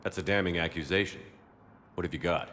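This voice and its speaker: A man playing a steady, reassuring military commander in a video game, calm and measured. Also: sits low, slight background noise. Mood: businesslike.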